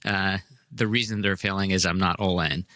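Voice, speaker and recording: A man's voice: low-pitched, fairly even intonation, moderate pace, pushed and bright, no echo. A man hosting a podcast who talks casually and eagerly, often stumbling and repeating words. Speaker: podcast host. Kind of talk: casual interview talk.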